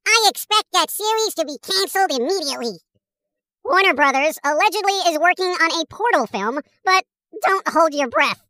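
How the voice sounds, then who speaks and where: Super high squeaky cartoon squirrel, sharp and sardonic, hosting comedy news. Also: lively intonation, moderate pace, exaggerated voice. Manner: sardonic, dismissive of humans. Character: wisecracking cynical cartoon squirrel and gang of sidekicks. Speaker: podcast host character. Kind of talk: scripted comic news chat.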